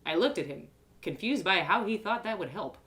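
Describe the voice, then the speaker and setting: A woman reading a fantasy story aloud in an expressive, first-person narrating style. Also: lowish voice, a little fast.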